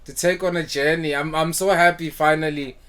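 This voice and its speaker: Laid-back South African podcast host. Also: male voice, fairly high pitch, brisk, a crowd in the background. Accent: South African English. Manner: relaxed, repeats words, mixes isiXhosa phrases. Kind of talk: casual podcast conversation.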